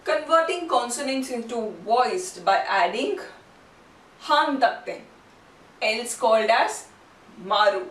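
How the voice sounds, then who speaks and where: A woman gives an instructional lesson in a fairly high voice. She takes her time, explaining didactically and asking questions that she answers herself. The sound is echoey and a little distant from the microphone. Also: fairly bright tone. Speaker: Japanese language teacher. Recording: roomy echo, distant mic, faint noise.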